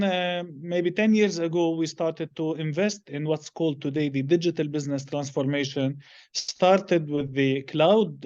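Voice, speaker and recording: Male interview guest, middle-aged, Lebanese accent, halting delivery, dull audio. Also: a little high, darkish tone. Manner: halting, measured phrasing with many hesitations. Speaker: interview guest, business executive. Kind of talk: unscripted interview answers.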